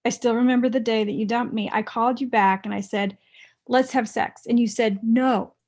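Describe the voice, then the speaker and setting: A woman plays a prickly, resentful ex in a comedy table read. Her voice is fairly high, and her delivery is blunt and deadpan, always pressing for answers. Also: normal speed. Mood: blunt, wounded.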